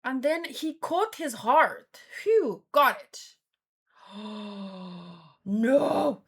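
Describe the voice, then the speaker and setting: A woman hosting a read-along in a casual live commentary style, with expressive, exclamatory reactions. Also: high-pitched, drawn-out words. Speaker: host of a manga read-along stream.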